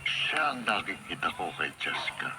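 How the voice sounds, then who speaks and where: An actor with a Filipino accent playing an earnest, kind and protective older brother in an emphatic, solemn radio drama style. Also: male voice, sits low, sing-song, normal speed, very noisy. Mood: tender, solemn. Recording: loud music behind radio drama.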